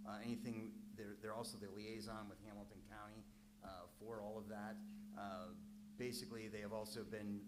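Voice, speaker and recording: A man with a lowish voice speaks as mayor at a council meeting. He explains things in a measured, halting way, full of ums and restarts. A loud, steady hum runs under his voice. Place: council chamber.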